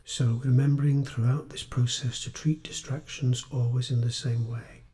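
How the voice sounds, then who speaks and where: Mellow-voiced man guiding meditation. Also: mid-range voice, level tone. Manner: gentle, soothing, unhurried delivery with pauses. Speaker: meditation teacher. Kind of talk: guided meditation.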